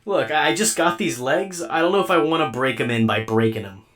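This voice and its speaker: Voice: medium pitch, animated, a little fast, lightly acted. An actor voicing a mild, bemused robot companion in a radio drama, hesitant and plainspoken. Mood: wry. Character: mild, bemused robot companion who just gained legs.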